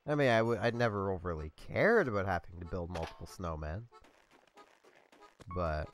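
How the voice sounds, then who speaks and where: A stuffed-up man talks at his home gaming setup, his fairly low voice rambling along between ums and little laughs. It is loose, casual gameplay commentary into a desk mic. Faint music plays underneath. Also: lively intonation, normal speed. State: congested. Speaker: let's play host.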